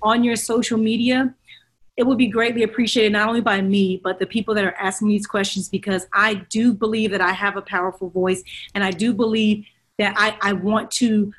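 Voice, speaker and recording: A woman's voice: mid-range voice, level tone, fairly quick. Earnest woman podcast host. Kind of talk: casual interview.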